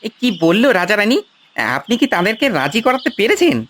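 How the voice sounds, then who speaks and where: A woman's voice: mid-range voice, big pitch swings, a little fast, a bit bright, slight background noise. A woman voicing a sorcerer's eager male sidekick in a cartoon fairy tale, inquisitive and fawning. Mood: curious.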